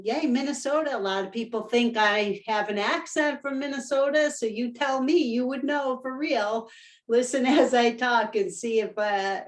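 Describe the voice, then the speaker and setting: Woman webinar presenter, upbeat and enthusiastic, laughs often, video-call sound. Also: mid-range voice. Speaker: workshop presenter. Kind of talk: live webinar talk.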